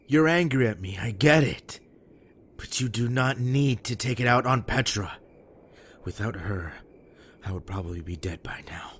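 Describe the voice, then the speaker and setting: A man acting a worn-out, surly ex-addict in a zombie apocalypse audio drama, gruff and exasperated. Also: medium pitch, animated, moderate pace, fairly bright tone. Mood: angry. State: weak, worn out.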